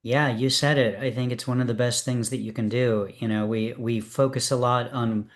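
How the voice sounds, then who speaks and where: A man's voice: medium pitch, steady tone, normal speed. Encouraging man hosting an open reading. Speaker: host of a poetry open reading. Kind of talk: casual hosting chat.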